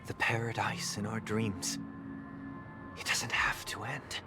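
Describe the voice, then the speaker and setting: A solemn, sceptical gentleman from a video game. His deep voice moves slowly and gravely, quietly persuasive, with music underneath. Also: flat delivery, whispered. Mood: grave, probing. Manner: grave, deliberate. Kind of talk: scripted game voice acting.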